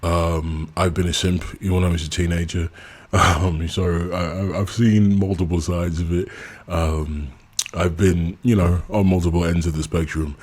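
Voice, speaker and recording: A man answers his subscribers' questions in a loose, chatty way. His voice sits very low and has a husky, breathy grain. He is frank and blunt, and he laughs often as he talks. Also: flat delivery, normal speed, a little mellow. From England. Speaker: YouTube channel host. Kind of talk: casual subscriber Q&A.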